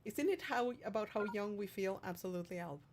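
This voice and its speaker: A woman's voice: medium pitch, expressive, fast, vocal fry, slight background noise. A woman hosting a livestream, reading out viewer comments and chatting casually in a playful, affectionate way. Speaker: streamer hosting a livestream, singer-songwriter.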